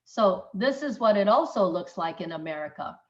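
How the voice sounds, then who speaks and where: A woman's voice: mid-range voice, animated, moderate pace. Middle-aged woman lecturing, dull audio. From Taiwan. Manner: emphatic, checks in with listeners. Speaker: doctor giving a webinar talk. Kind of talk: informal lecture.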